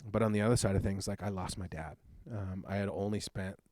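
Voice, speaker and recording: A man in his thirties, a youth pastor, shares his personal testimony in an earnest, reflective, halting way. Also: sits low, steady tone, a little mellow, no room sound. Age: 30s.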